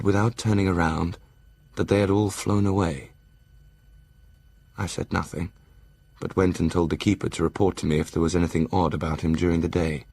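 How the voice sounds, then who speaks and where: A man playing a brooding Victorian asylum doctor, narrating his journal in a measured, formal way for an audio drama. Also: sits low, gravelly. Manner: measured, formal, literary delivery.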